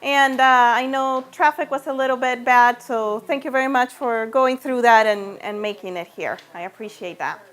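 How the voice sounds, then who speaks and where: A woman's voice: on the high side, moderate pace, few pauses, a bit bright. A middle-aged woman from Venezuela with a Spanish accent gives an informal personal talk to a small group, conversationally checking in with listeners. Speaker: natural health practitioner giving a talk.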